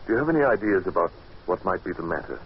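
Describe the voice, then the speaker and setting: A man acting a curious, uneasy house guest who narrates an old time radio drama in a measured, musing, dramatic way. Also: sits low, expressive. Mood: uneasy, questioning. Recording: dull, noisy old radio recording.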